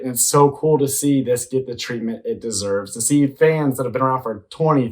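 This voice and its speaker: A man giving casual, slangy commentary as an enthusiastic host reacting to a movie trailer. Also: medium pitch. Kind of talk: excited casual commentary to viewers.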